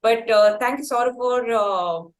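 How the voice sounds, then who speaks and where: A woman with an Indian English accent hosting a conversational interview, effusive and wordy, with halting phrasing. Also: a little high. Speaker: podcast host interviewing musicians.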